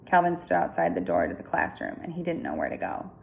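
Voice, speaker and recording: A woman reading a children's story aloud in an informal, chatty, expressive way with casual asides. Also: extremely low pitch, quick pace, vocal fry, slight background noise.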